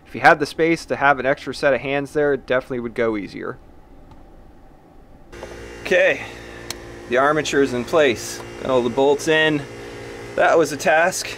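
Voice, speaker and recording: A man's voice: medium pitch, noisy background. A man in his thirties giving a plain, matter-of-fact walkthrough of his own boat repair, filmed with a head-mounted camera in a cramped engine room. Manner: plain, matter-of-fact explaining while working. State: sweating and out of breath. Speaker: boat owner showing a repair. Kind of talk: casual DIY narration.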